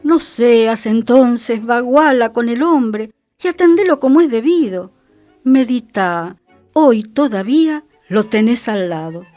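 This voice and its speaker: A woman's voice: a little high, lively intonation, slow, mellow, dry recording, faint music behind. A woman performing a sardonic old-time porteño storyteller, in a dramatic, admonishing monologue with a Buenos Aires accent. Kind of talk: theatrical monologue in tango slang.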